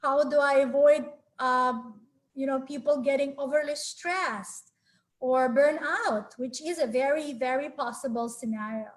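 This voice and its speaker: Woman, from Ukraine, 40s, high voice, friendly and earnest, many fillers, webinar speaker, laptop mic on a video call. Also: a little slow. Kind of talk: presenting a live webinar talk. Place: small home room. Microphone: laptop webcam on a video call.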